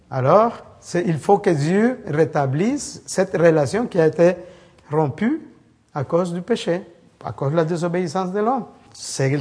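Man, from El Salvador, preacher, halting informal sermon, somewhat high voice. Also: darkish tone. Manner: halting, many restarts, storytelling.

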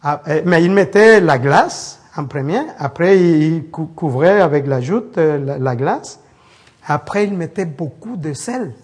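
A man from El Salvador gives a loose, conversational sermon, often stumbling and restarting as he hunts for words. His voice sits a little higher than most men's. He mixes scripture with stories from his childhood. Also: lively intonation. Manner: halting, many restarts, storytelling. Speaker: preacher. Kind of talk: informal sermon with congregation.

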